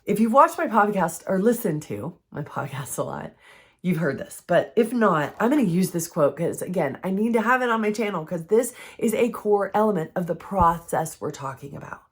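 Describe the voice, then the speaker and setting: A woman with a froggy, hoarse voice from recent illness, giving an earnest, conversational faith-based health teaching. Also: lowish voice, lively intonation, moderate pace. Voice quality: froggy, strained voice.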